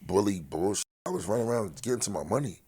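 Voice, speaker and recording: A man's voice: lowish voice, lively intonation, fast, a little mellow, breathy edge. A middle-aged man from Philadelphia with a big voice, talking bluntly and with profanity as a guest in a casual interview.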